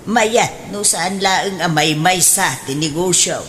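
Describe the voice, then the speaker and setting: A woman playing a gentle, fretful elderly mother in a radio drama, with an Ilocano accent and measured, drawn-out speech. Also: on the low side. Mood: gently concerned. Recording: faint background noise.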